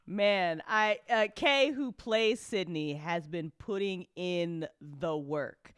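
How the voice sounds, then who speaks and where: A woman's voice: fairly low pitch, takes it slowly, fairly bright tone, clean tone, dry recording, studio quality. A woman hosting a live after show in casual chat, informal and repeating phrases for emphasis. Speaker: host of an audio drama after show. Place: close indoor mic on a live stream.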